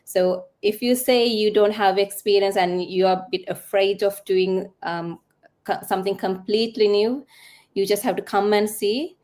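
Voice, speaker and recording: A woman's voice: mid-range voice, steady tone, moderate pace, darkish tone. A woman in her thirties with a Sri Lankan accent, giving a casual testimonial as a guest on an online call. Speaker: guest, nurse in online business. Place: live online video call.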